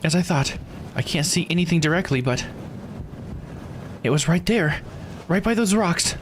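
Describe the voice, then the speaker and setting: A man's voice: on the high side, expressive, normal speed, pitched above the performer's own voice, natural voice. A man voicing an anxious, easily startled investigator while reading visual novel dialogue aloud. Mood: startled, urgent. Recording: faint background noise.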